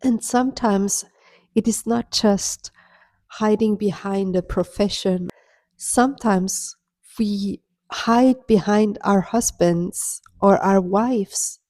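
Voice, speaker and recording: A woman spiritual healer talks in an unhurried, drawn-out way, taking her time over each phrase. Her voice is warm and mellow, never bright, with a middle pitch. She coaches her listener in a soft, earnest tone. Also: no echo. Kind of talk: reflective motivational monologue.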